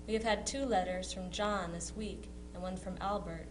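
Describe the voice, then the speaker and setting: A woman's voice: on the low side, fairly even intonation, normal speed, over music, natural voice. A woman reading as a chatty, teasing sister writing to her soldier brother in a period letter dramatization. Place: live historical society presentation. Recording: noisy live recording with hum.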